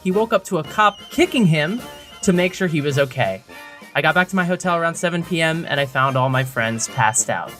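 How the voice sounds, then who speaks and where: A man's voice: a little high, lively intonation, fairly quick, fairly bright tone, soft background music. A man co-hosting a podcast in a casual, informal, conversational way. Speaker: podcast co-host.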